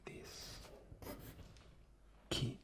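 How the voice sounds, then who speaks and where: A man whispering an ASMR demonstration, explaining patiently and haltingly with repetition. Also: noisy background. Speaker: guest ASMR performer.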